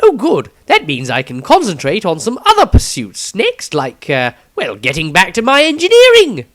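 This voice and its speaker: A man voicing an eager, eccentric wizard engineer in a fantasy story, excitable and slightly hesitant. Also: high-pitched, moderate pace, no echo, studio quality, pitched above the performer's own voice, exaggerated voice. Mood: pleased.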